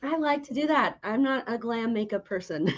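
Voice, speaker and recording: A woman's voice: a little high, expressive, measured pace, dull audio. A woman hosting an interview as a casual chat, informal and giggly in the way she talks. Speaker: interviewer, podcast host.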